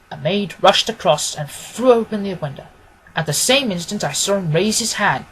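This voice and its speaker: A man narrating a classic story as a scripted audiobook, in a measured, literary storytelling manner. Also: sits high, slight background noise, poor audio.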